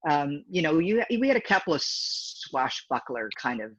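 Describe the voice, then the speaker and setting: A deep-voiced woman in her fifties talks freely as an interview guest and often circles back and restarts her sentences. Her voice is husky and low. The recording is dry and slightly dull. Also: sing-song, moderate pace, fairly bright tone, no echo. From Canada. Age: 50s. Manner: rambling, talkative, frequent restarts. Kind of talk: casual interview chat.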